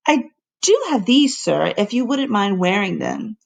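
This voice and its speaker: A woman's voice: medium pitch, very animated, unhurried, gravelly, heavily acted. A woman voicing an anxious, deferential female housekeeper in a storybook, speaking politely and hesitantly. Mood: shy.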